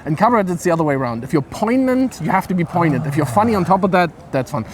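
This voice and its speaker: A man's voice: sits high, lively intonation, racing. A man from East Berlin, chatting casually and jokingly as a comedian being interviewed. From East Berlin, Germany. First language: German. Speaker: stand-up comedian, guest. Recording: clear outdoor city traffic noise.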